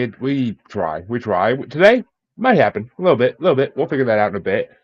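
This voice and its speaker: A man's voice: fairly low pitch, no room sound. A man hosting a podcast interview, chatty and jokey, laughing between lines over a video call. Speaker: podcast host. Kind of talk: casual interview chat. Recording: dull dry lo-fi sound.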